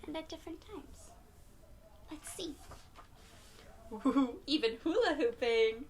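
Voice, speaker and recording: A woman reading a picture book aloud to young children in an encouraging, expressive way, often putting questions to her listeners. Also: sits high, takes it slowly. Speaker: children's read-aloud storyteller.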